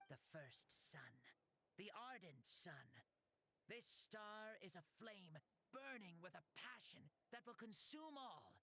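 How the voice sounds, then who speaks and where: A woman's voice: mid-range voice, lively intonation, slow, vocal fry, pushed and bright, a little hiss or hum, exaggerated voice. A woman playing a playful, grandiose ancient warrior hero in a boy's body for a video game, declaiming theatrically. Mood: solemn challenge. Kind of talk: video game character acting. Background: background music.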